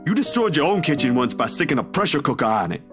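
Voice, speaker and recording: A man voicing a caring young man who gently scolds his friend in a visual novel game. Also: fairly high pitch, sing-song, fast talker, over music. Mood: teasing reproach.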